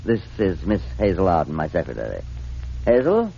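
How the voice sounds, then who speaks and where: An actor playing a suave, calmly menacing criminal mastermind in a 1940s radio drama, courteous, deliberate and smug. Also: male voice, low voice, gravelly, exaggerated voice. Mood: suave menace. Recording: muffled, noisy 1940s radio recording.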